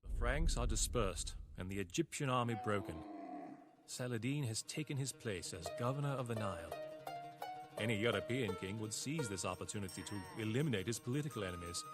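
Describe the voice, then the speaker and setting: Man voicing a weary captive knight narrating a game story, low solemn voice, music behind. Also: expressive, fairly quick, close to an everyday voice. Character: weary captive European knight chronicling his captors' war. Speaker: campaign narrator. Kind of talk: scripted dramatic narration.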